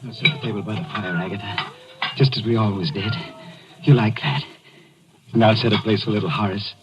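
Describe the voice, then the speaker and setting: A man's voice: lowish voice, a little fast, noisy background, natural voice. A man playing a massive, jealous, cunning mad sculptor in an old radio thriller, intense and brooding, with theatrical menace. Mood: tense, coaxing. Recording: muffled old radio recording.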